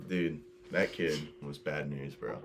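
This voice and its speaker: Deep-voiced eighteen-year-old guy hosting casually. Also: lively intonation, slow, gravelly. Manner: slangy, informal, says dude and bro often. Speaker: host of a video podcast. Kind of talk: casual chat, storytelling with friends. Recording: noisy home-made recording.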